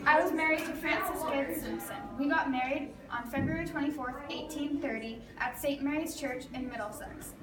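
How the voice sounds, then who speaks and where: A woman reading scripted answers in the role of a historical man, in a plain, steady way. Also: sits high, moderate pace, few pauses, natural voice. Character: grown man, a historical Canadian figure. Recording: echoey room, far from the mic, with background noise.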